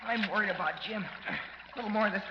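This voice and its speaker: A man's voice: sits high, fast talker, close to an everyday voice. An actor playing a plucky, wisecracking teenage boy sidekick in a 1940s radio serial, with slangy, wry delivery. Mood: cold, worried. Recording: echoey, muffled, noisy old radio recording.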